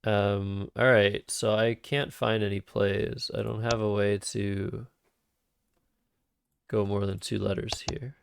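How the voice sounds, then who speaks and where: A man with a calm, soothing voice that drops into gravelly vocal fry, unhurried and deliberate as he talks through his plays. His voice sits fairly low, and the sound is dry and close, picked up by a cheap microphone. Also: no echo. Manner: thinks aloud over moves, soft-spoken and unhurried. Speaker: Scrabble streamer. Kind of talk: live-streamed game commentary.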